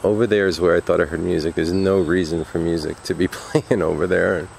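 A middle-aged man with a gravelly voice, talking casually and a little uneasily to viewers in a rambling handheld vlog. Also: sits low, steady tone, fairly quick, a little mellow. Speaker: urban exploration vlogger. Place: abandoned derelict house and surrounding woods. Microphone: handheld camera at arm's length. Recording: faint outdoor noise with chirping insects.

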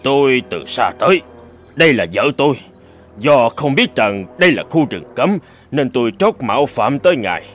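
A man's voice: mid-range voice, very animated, normal speed, fairly bright tone, faint music behind. A man voicing a scheming old male sage in a cartoon fairy tale, gloating and wheedling.

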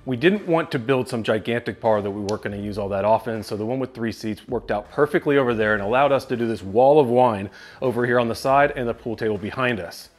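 A man's voice: medium pitch, fast talker. A man in his thirties giving an upbeat walkthrough of his home basement, talking to the camera with a clip-on mic. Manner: upbeat, gestures with his hands while walking and talking to camera. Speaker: tech reviewer giving a home tour. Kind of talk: casual home tour walkthrough. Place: home basement rooms, indoors. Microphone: clip-on lapel mic.

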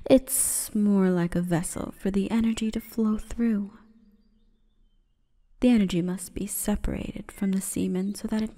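Female forest spirit, played by a woman for ASMR roleplay: mellow breathy whisper, solemn and seductive, in long reverb. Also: medium pitch. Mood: softly commanding, seductive. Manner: slow, breathy, formal and commanding.

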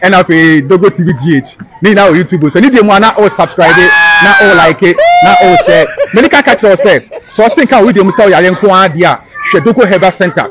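A man's voice: sits high, fast talker, hardly any pauses. A young Ghanaian man with a Ghanaian accent, teasing and laughing as a street interviewer with a handheld mic at an outdoor market lot. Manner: teasing, laughs often, animated. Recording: crowd chatter outdoors. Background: crowd.

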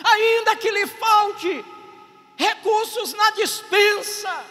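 A middle-aged Brazilian pastor with a Bahian accent, preaching a sermon on a handheld mic at an outdoor stage and building to shouted, repeated phrases. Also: male voice, very high voice, extremely slow, big-room sound. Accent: Bahian Portuguese. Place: outdoor stage at a drive-in service.

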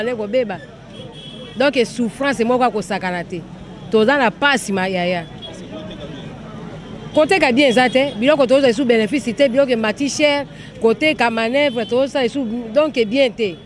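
A woman's voice: on the high side, a little hiss or hum. Congolese woman interviewed on a busy street. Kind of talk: complaining vox pop. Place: outdoors by a busy road. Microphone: handheld mic.

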